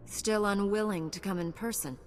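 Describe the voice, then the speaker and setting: An actress playing a calm, steady heroic knight in a video game, composed and reassuring. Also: female voice, mid-range voice, normal speed, a little hiss or hum, natural voice. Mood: firm. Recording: long hall reverb, music behind.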